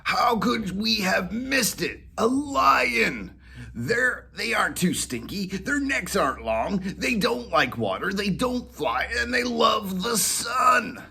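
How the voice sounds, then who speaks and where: A man voicing Molly, a cheerful female collie, in a high squeaky excited voice while reading a storybook aloud. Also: slow, gravelly, breathy edge, heavily acted.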